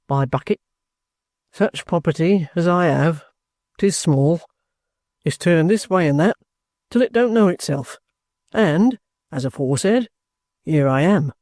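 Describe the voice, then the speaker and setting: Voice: mid-range voice, mellow, no echo, clean studio sound, voice pushed down, lightly acted. A reader voicing a bluff, stubborn ex-soldier in an audiobook, courteous, plain-spoken and offhand. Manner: respectful, offhand, self-possessed.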